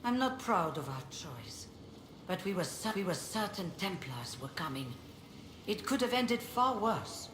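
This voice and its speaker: Dignified, lowish-voiced mage leader. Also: female voice, sing-song. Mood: grave, measured. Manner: measured, formal, reflective. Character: weary, dignified elven mage leader, former warden. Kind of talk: video game dialogue acting. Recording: roomy echo, clearly noisy game capture.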